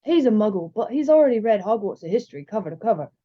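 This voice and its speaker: A woman's voice: mid-range voice, big pitch swings, fast talker, voice pushed up. A woman voicing a big, studious young boy in a storybook, serious and eager to learn. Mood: earnest, curious. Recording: slightly dull, lo-fi sound.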